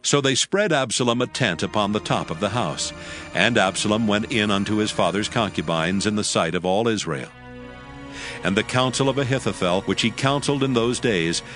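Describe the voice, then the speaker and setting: Low-voiced man narrating scripture. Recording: faint music bed.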